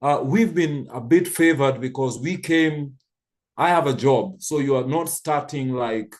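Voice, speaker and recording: A man's voice: medium pitch, a little slow. A man in his fifties from Kenya, telling stories thoughtfully with frequent restarts on a church panel. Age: 50s.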